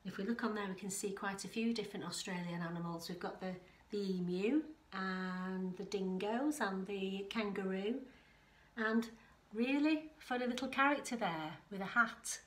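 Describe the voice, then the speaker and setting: A woman's voice: mid-range voice, moderate pace. A middle-aged woman reading a picture book aloud to young children, with rhythmic delivery and chatty asides. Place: living room at home. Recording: roomy, echoing home living room.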